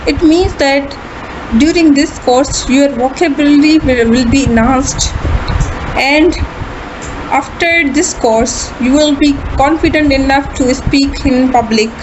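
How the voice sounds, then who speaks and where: High-pitched Pakistani woman teaching, noisy muffled recording. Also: slow pace. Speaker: English language instructor. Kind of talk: spoken English lesson demo for beginners. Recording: muffled audio with loud background noise.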